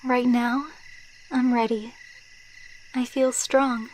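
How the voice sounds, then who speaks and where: ASMR roleplay: actress as female vampire lover, ageless and sentimental. Fairly high, gentle, intimate delivery, taken very slowly. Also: little pitch movement, a little hiss or hum, low-quality recording. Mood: loving, earnest. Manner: soft, intimate, unhurried, sentimental. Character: tender, wistful immortal female vampire girlfriend.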